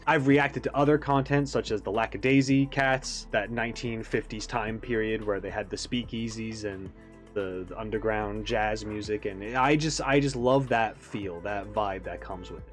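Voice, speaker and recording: A man hosting a reaction video, giving casual, off-the-cuff commentary. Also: mid-range voice. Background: faint music.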